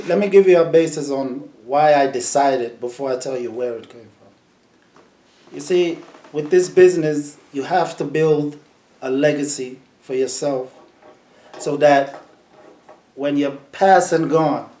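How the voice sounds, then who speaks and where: Relaxed New man, echoey room. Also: on the high side, a little slow, long pauses. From New York. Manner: relaxed, storytelling, repeats words. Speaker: sound system selector. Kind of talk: casual interview answers.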